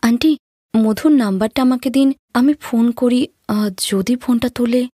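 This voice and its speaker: A woman voicing a polite, helpful young seamstress in an animated story, eager to help. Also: a little high, normal speed, no echo, studio quality, pitched above the performer's own voice, lightly acted. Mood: concerned. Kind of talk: Bengali animated moral story acting.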